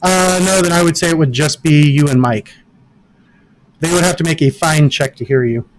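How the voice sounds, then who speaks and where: A man with a notably high voice leads a tabletop game as game master. He talks through the rules in a patient, methodical way. A faint hiss sits under the recording. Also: normal speed, poor audio.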